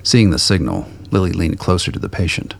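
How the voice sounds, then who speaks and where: Male narrator for audio drama: extremely low, husky voice, scripted dramatic storytelling. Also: very animated, fairly quick.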